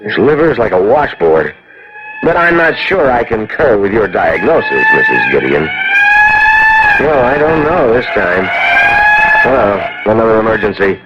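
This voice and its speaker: A harried male emergency room doctor in an old radio drama. His pitch is middling and moves in lively swings, with wry, hurried wisecracks. The recording is muffled and clearly noisy. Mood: brisk, wry.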